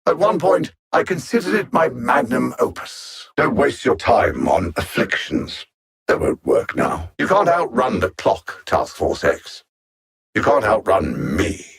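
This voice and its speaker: An actor voicing an arrogant alien supervillain mastermind in a video game, coldly mocking, condescending, with precise formal wording. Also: male voice, medium pitch, sing-song, unhurried, gravelly, husky, exaggerated voice. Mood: mocking disdain. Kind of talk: theatrical video game villain dialogue.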